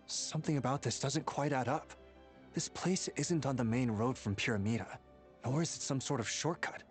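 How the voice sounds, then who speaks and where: A man voicing a level-headed, suspicious squad leader in a video game, speaking in a measured, skeptical way. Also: medium pitch, soft background music, close to an everyday voice.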